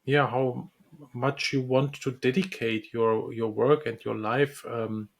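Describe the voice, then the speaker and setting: A man answers interview questions about his work as a dentist and lecturer. He speaks slowly and unhurriedly at a middle pitch, stopping often with ums and restarting his phrases. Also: fairly even intonation, creaky. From Czech Republic. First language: Czech. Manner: hesitant, many ums and restarts.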